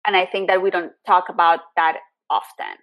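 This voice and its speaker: A woman's voice: fairly low pitch, fairly even intonation, normal speed, highs cut off. A woman hosting a casual podcast interview, friendly and encouraging, laughing often. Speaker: podcast host.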